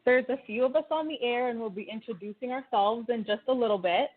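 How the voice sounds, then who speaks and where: A woman in her twenties from Canada, hosting a relaxed radio talk and chatting easily with her guests. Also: a little high, quick pace. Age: 20s. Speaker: host. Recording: tinny phone line.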